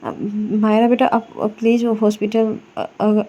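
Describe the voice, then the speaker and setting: A woman voicing a middle-aged mother-in-law who speaks gently and hesitantly, stumbling over her words. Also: fairly high pitch, completely flat delivery, muffled recording. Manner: halting, hesitant, many broken starts.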